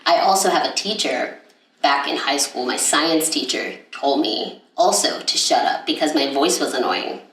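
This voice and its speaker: Young woman podcast co-host, low voice, loose chatty delivery full of fillers, picked up from across an echoey room. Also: moderate pace, a bit bright, slight background noise. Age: young adult. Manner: rambling, with many fillers and restarts. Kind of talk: casual chat. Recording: echoey room, mic at a distance.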